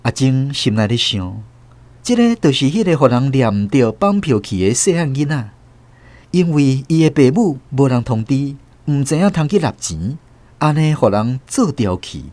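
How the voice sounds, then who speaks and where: Casting: male narrator, Taiwanese accent, lively intonation, close dry studio sound, literary radio drama. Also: medium pitch, moderate pace, no echo.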